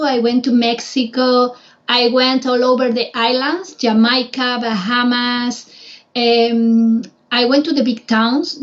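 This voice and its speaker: A woman from Spain speaks English with a clear Spanish accent in a fairly high voice. She tells her stories in a chatty, hesitant way, full of small fillers. The recording sounds muffled and low-fidelity. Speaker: guest, travel guide. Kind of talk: casual conversation.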